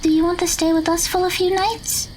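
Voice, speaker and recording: Very high-pitched, gentle, polite teenage magical girl. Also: level tone, brisk, slight background noise. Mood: gentle, firm. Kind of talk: audio drama acting.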